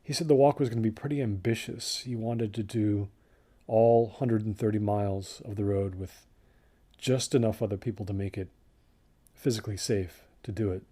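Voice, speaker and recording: A man's voice: fairly low pitch, steady tone, normal speed, warm tone, natural voice. A man playing a young student hiker recalling a trip, talking casually and hesitantly as if in a documentary interview. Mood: reflective, subdued. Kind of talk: naturalistic documentary interview acting.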